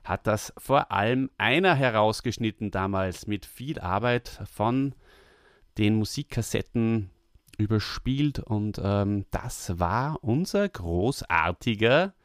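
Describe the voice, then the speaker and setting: A male podcast host talking in a relaxed, chatty way, with plenty of fillers. His voice sits low. The sound is dry and close. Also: slow pace, no echo. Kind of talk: casual podcast chat.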